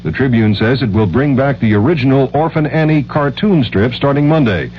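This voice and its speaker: A man's voice: medium pitch, a little fast. An American man reading a scripted 1970s radio news bulletin. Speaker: radio newsreader. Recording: muffled vintage radio, faint noise.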